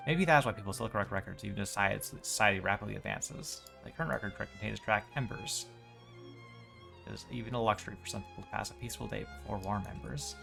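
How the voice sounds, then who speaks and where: Man reading as measured, creaky game-text narrator. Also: lowish voice, pushed and bright, soft background music, full character voice. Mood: wistful. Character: wistful descriptive narrator of game item texts. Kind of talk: scripted text read aloud.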